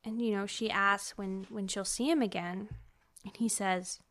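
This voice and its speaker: A woman's voice: medium pitch, fairly even intonation, moderate pace, fairly bright tone. A woman podcast host chatting casually as she retells a novel in an informal, conversational way. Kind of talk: casual chat recapping a novel.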